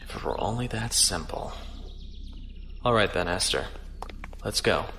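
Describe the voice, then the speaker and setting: A man playing a courteous, earnest starship captain in an audio drama, speaking in a measured, formal way. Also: low voice, lively intonation, creaky, pushed and bright, slight background noise, heavily acted. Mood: concerned.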